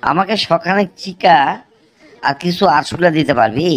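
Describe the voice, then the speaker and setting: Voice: mid-range voice, moderate pace, soft background music, natural voice. A voice actor playing an earnest young man who patiently explains a strange request in a comedy cartoon.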